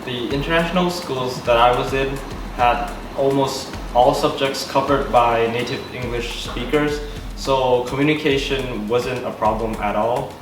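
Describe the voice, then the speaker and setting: Male high schooler, mid-range voice, casual discussion, clear music under him in a roomy space. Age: teenager, high school student. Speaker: student panelist. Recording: echoey room, distant mic, music behind.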